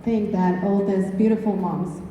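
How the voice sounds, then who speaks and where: A woman from Mexico City shares a personal story as a panel speaker, halting and repeating words. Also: mid-range voice, fairly even intonation, warm tone. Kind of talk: personal testimonial to an audience. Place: large echoing event hall. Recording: echoey hall, distant mic, faint noise.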